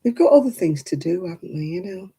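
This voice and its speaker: A woman in her seventies hosting a crafting livestream, chatting homely and warmly with viewers by name and laughing often. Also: fairly low pitch, expressive, fast talker, vocal fry, breathy edge. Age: 70s. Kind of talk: casual chat reading out viewer comments.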